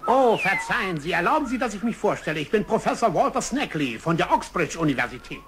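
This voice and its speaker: A sly, high-pitched fake professor. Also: male voice, sing-song, fast, fairly bright tone. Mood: oily, flattering. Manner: oily, mock-polite, theatrical. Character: sly animal hunter posing as a jovial professor. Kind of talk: German-dubbed cartoon dialogue.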